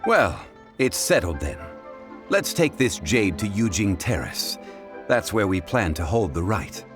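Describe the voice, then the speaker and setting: A man voicing a composed, learned gentleman in a video game, speaking in a formal, measured, lecturing manner. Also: lowish voice, sing-song, loud music behind the voice. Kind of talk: video game cutscene voice acting.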